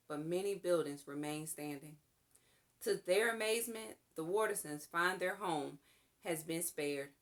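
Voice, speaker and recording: A woman reading a children's storybook aloud as a storytime reader and explaining what is in it. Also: fairly low pitch.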